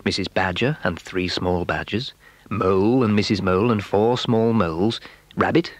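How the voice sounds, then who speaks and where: A man's voice: lowish voice, no echo. A man narrating a children's story from a script.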